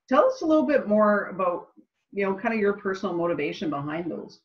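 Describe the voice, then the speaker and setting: A woman's voice: medium pitch, very animated, a little fast. A woman hosting a podcast, introducing herself and her guest with enthusiasm. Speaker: podcast host and life coach. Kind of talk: casual podcast interview. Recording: slightly dull lo-fi audio.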